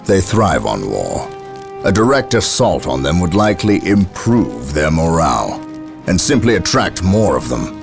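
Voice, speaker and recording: An actor playing a grave, authoritative space marine commander in a video game, speaking in a solemn, measured way. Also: male voice, low-pitched, sing-song, gravelly, full character voice. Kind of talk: video game cutscene and mission voice acting. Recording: dramatic music behind.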